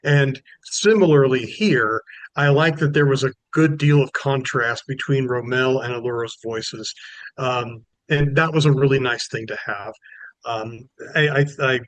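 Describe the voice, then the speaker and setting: A man's voice: medium pitch, a bit bright, no echo, rough recording. Guy co-hosting a podcast, mid voice, stammery restarts, casual praise, dry sound. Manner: halting, repeats words. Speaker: podcast co-host. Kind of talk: casual appreciative commentary.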